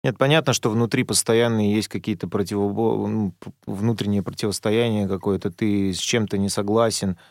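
A man's voice: fairly low pitch, steady tone, fairly quick, gravelly, a little mellow, no echo, studio quality. A man as a guest on a radio interview, thinking aloud and searching for words. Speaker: guest musician. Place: radio studio.

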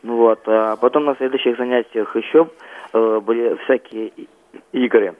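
A teenage boy phoning in as an interview guest, answering in a halting, reserved way over a telephone. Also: medium pitch, steady tone. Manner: halting, reserved, often says "like". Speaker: young amateur playwright, phone-in guest. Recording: muffled, band-limited phone line.

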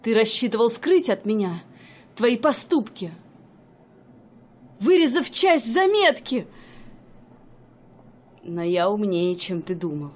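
Brief: actress as an outraged jealous wife, high voice, slow biting scolding, radio play. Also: female voice, sing-song, exaggerated voice. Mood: furious. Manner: indignant, scolding, theatrical outbursts. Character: furious jealous wife accusing her husband.

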